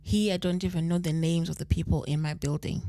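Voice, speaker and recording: Zimbabwean woman, podcast co-host, lowish voice, laid-back chat with code-switching. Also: brisk. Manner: relaxed, repeats phrases, mixes Shona into English. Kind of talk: casual chat, reading listener letters.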